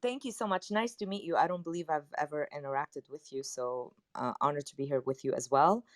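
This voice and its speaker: A woman from Lebanon, English not her first language, speaking as a panelist in an animated, emphatic, personal way. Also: low-pitched, fairly quick, no room sound, clean studio sound. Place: online audio chat room.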